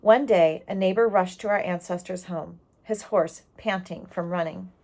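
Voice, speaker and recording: Expressive woman reading a storybook aloud. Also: fairly low pitch. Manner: expressive, explanatory, pausing on key words. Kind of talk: storybook narration with asides to young listeners.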